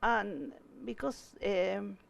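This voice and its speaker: A woman's voice: medium pitch, very slow, creaky, background noise. A woman from Chile, a guest artist who answers audience questions at a museum talk and often restarts as she searches for words. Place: museum event space.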